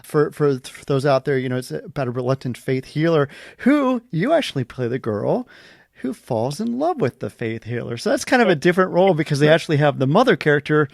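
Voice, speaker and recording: Male interview host, slightly high voice, very quick, gushing and chatty, close dry sound. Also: big pitch swings, creaky, dry recording. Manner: effusive, gushing fan enthusiasm. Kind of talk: casual, chatty celebrity interview.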